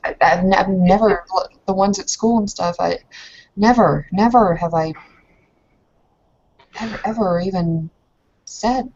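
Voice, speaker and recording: Confused young werewolf newcomer played by a woman, halting, naive and questioning, tabletop roleplay, dull lo-fi sound. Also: mid-range voice, darkish tone. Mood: confused, uneasy. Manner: halting, questioning, repeats herself. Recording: dull lo-fi voice recording.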